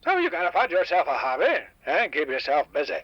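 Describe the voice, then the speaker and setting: A man voicing a grumpy, stubborn old diner owner, raspy, crotchety and rambling, heard over a radio call-in line. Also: on the high side, wildly swooping pitch, brisk, no echo, muffled recording, full character voice. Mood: gruff, opinionated. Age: older. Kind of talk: comedic radio drama guest. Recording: dry, band-limited radio call-in line.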